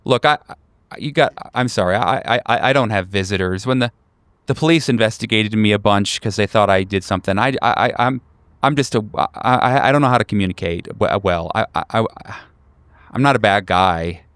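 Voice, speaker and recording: A man's voice: fairly low pitch, a little fast, a bit bright, clean tone, no room sound, close to an everyday voice. A man voicing a painfully awkward young recluse in his twenties in a tabletop horror game, stammering, repeating himself and apologizing. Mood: anxious, defensive.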